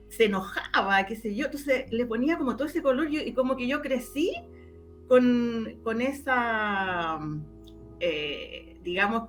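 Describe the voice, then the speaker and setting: A woman's voice: mid-range voice, fairly bright tone. A middle-aged woman with a Chilean accent from the O'Higgins region, reminiscing casually as an interview guest, often repeating her words as she tells stories.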